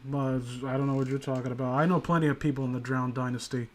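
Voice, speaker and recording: A man's voice: medium pitch, little pitch movement, fast. A man co-hosting a casual comedic commentary, rambling sarcastically with crude humour. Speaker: co-host of a comedic commentary show.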